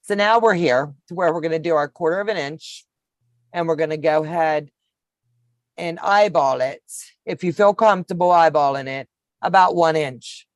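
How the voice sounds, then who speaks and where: Woman in her fifties, lowish voice, dry close sound, homey sewing demo, calls people baby. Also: normal speed, dry recording. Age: 50s. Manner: homey, calls viewers baby, narrates each step. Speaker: sewing livestream host. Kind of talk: casual sewing demonstration chat.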